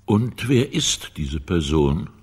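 An actor playing a busy office boss in a radio crime drama, husky, brisk and guarded. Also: male voice, low-pitched, very animated, heavily acted. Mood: guarded, probing.

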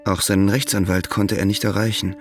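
An actor playing the sober third-person narrator of a radio drama, reading scripted storytelling. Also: male voice, lowish voice, little pitch movement, brisk, faint music behind, natural voice. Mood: sober, narrating.

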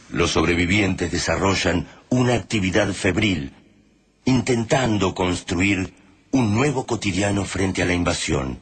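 A man with an Argentine accent performing as the grave, poetic narrator of a radio drama about war. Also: low voice. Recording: noisy ambience behind the voice.

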